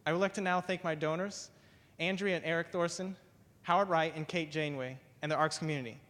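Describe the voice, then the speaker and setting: A man, a graduate plant researcher, giving a prepared talk and then answering on stage in a measured way, often saying "basically". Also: fairly high pitch, fairly even intonation, fairly bright tone. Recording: long hall reverb.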